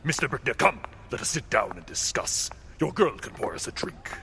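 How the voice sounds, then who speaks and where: Formal, forceful villainous businessman. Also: medium pitch, big pitch swings, a little hiss or hum. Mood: smooth, commanding. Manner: formal, measured, clipped. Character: suave, formal male businessman villain, coolly polite. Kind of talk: radio drama acting.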